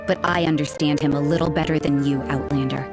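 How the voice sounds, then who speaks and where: A woman's voice: sits low, fairly even intonation, brisk. An actress playing a cool, cynical woman who patrols for threats, speaking in a dry, dismissive and suspicious way. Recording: backing music.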